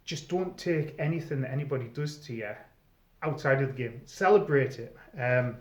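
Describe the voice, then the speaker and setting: A man's voice: mid-range voice, moderate pace. Man in an echoey room. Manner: conversational, explanatory. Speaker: presenter, former game storyteller. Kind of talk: informal advice video monologue. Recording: echoey room, slightly dull sound.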